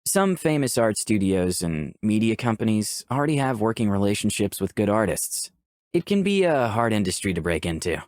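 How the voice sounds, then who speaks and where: Man as gentle young artist, animated pitch. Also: normal speed, dry recording, clean studio sound. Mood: earnest. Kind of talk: visual novel dialogue and first-person narration.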